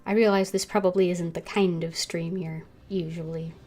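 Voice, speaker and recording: A woman livestreaming a game, chatting casually and thinking aloud in a halting way. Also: lowish voice, steady tone, a little mellow. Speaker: game streamer.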